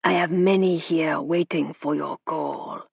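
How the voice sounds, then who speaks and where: A woman puts on a man's spirit voice, channeled by a medium at a storybook séance. It growls low with a foreign edge, slow and grave, husky and close on a dry, somewhat muffled recording. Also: fairly even intonation, no echo, full character voice. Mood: solemn. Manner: slow, solemn pronouncements.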